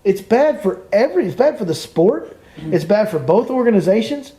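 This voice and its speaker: A man's voice: sits high, big pitch swings, moderate pace, warm tone. A man hosting a podcast, giving his opinion emphatically and repeating points as he rants. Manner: emphatic, repetitive, ranting. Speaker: podcast host and fishing guide.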